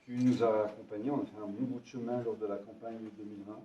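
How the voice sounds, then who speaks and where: A man's voice: fairly low pitch, little pitch movement, quick pace, warm tone, slight background noise. A man acting as mayor, introducing local volunteers to a gathering with frequent hesitations and friendly jokes. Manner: hesitant, repeats words, jovial. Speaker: mayor hosting a town meeting. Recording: echoey room, distant from mic, dull sound.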